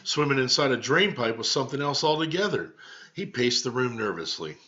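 Male American teacher, storybook read-aloud, forceful tone, casual asides, lo-fi webcam sound. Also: medium pitch, lively intonation, a little fast, slight background noise. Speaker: teacher reading aloud to children. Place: small home room, indoors. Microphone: webcam at desk distance. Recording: dull lo-fi webcam audio.

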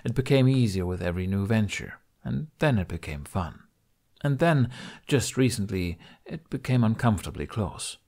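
A man narrates a story in a fairly low voice. His delivery is expressive and scripted, with pitch that moves around a lot as he tells the tale. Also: moderate pace. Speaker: audiobook narrator.

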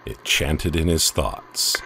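A man with a very deep voice reads a horror story slowly and dramatically, and his pitch rises and falls widely. Faint ambient noise sits under the reading. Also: animated, creaky. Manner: slow and dramatic. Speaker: narrator. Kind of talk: audiobook horror narration.

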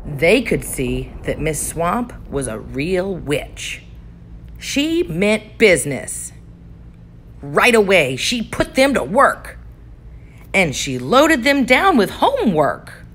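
A woman reads a children's picture book aloud, slow and unhurried. Her voice sits a little low but rises and falls in a lively, animated way. A faint hiss sits under her voice. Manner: expressive, animated storytelling. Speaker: storybook reader.

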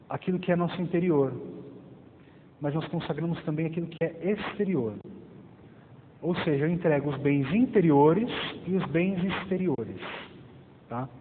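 A man in his thirties with a slightly high voice speaks at a lectern in a small parish hall. The sound is thin and tinny, with a long reverb and a faint hiss under the lapel mic. He moves from hushed prayer to earnest teaching and keeps tossing in quick checks with the audience. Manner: prays softly with eyes closed, then lectures with rising emphasis and tag questions. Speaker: lay formation speaker. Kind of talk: opening prayer, then church formation talk.

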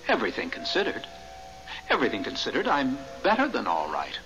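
A man playing the reluctant, gentle ghost of a dead husband, hesitant and weary, in 1970s radio drama style. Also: mid-range voice, huge pitch swings, a little fast, muffled recording, over music. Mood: wry, uneasy.

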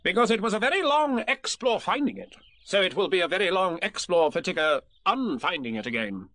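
A man's voice: sing-song, fairly bright tone, no echo. A man reading a storybook aloud, voicing a fussy, self-important male rabbit. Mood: bossy. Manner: brisk, self-important, high-pitched.

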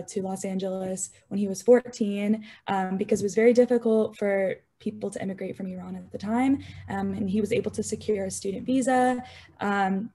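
A woman's voice: medium pitch, mellow. A young woman speaking as a student panelist on a video call, casual and chatty with frequent fillers. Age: young adult. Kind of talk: online panel discussion.

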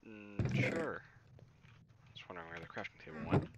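A man's voice: on the low side, sing-song, normal speed. A man explaining game steps to friends in casual chat over a headset mic. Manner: explaining, laughs often. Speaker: gamer.

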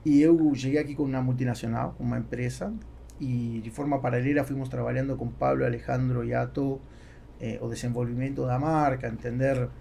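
A middle-aged man with a Spanish accent gives conversational answers on a podcast. His voice is dark and mellow with a gravelly creak, and he halts often to search for words. Faint noise sits behind the studio microphone. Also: medium pitch. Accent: Spanish-accented Portuguese. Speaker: podcast guest, business executive. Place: small foam-treated podcast studio. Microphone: close boom-arm studio microphone.

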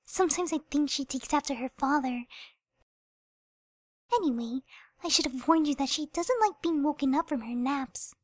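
A woman voicing a timid, soft-spoken young mother pony in an animated radio play, polite and soothing. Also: high-pitched, normal speed, pauses often, no room sound, studio quality.

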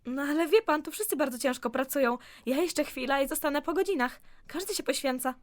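A woman's voice: high-pitched, a little fast, dry recording, close to an everyday voice. A woman playing an anxious, pleading and effusive office clerk in an audio drama. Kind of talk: amateur audio drama acting.

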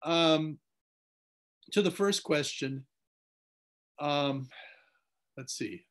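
An older man giving a deliberate academic answer on a video call from a home study, sounding a little tired and repeating words as he builds his points. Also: fairly high pitch, fairly bright tone. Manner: deliberate, repeats words, rises when emphatic. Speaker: guest lecturer answering questions.

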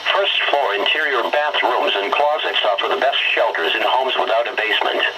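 A synthetic man's voice reading a formal automated weather bulletin in a flat, measured way through a radio speaker. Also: medium pitch. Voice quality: synthetic, robotic. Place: played from weather radio on wooden desk. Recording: very noisy weather radio, re-recorded in room.

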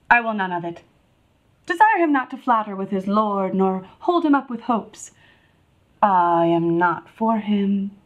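Woman playing a Shakespearean young noblewoman, lovestruck, haughty, high-pitched, very unhurried, theatrical stage delivery. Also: dull audio. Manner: theatrical, deliberate phrasing with pauses. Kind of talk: classical Shakespearean stage monologue.

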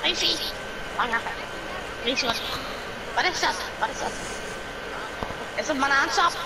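A woman voicing a naive, excitable stranded alien in improvised video game roleplay, childlike and repetitive. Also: high voice, moderate pace. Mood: curious, naive. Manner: childlike, repetitive, easily amazed. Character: naive excitable stranded banana-obsessed alien named Dimitri. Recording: loud game noise behind the voice.

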